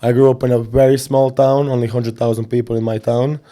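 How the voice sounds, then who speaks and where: A young man from Serbia, a boxing coach, chatting casually as an interview guest in animated storytelling fashion. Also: mid-range voice, brisk. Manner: animated storytelling with slang fillers.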